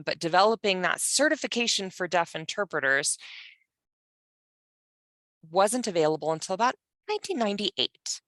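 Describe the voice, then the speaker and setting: A woman interpreting for a panelist, speaking in first person in a measured, halting way with pauses. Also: low voice, sharp tone, no room sound. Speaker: sign language voice interpreter for a panelist.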